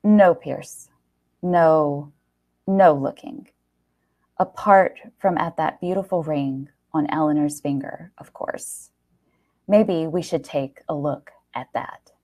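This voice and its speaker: A woman's voice: deep voice, slow, a little mellow. Woman voicing a bitter, sneering jilted man. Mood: sneering sarcasm. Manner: sarcastic, accusing. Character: Gary, bitter jilted boyfriend, sneering and indignant.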